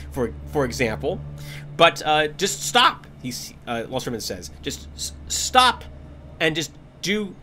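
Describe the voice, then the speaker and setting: A man talks to his gaming audience from his computer at home, rambling and candid, with restarts and small laughs. His voice is bright and lively, sitting a little higher than most, but he is stuffy from a cold. A faint hiss runs under the recording. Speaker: gaming channel host. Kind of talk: casual talk to viewers over gameplay.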